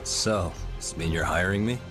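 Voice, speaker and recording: A man playing a calm, casual private detective in conversational video game cutscene dialogue. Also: low voice, normal speed, lightly acted. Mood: inquisitive. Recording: game music under the voice. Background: music.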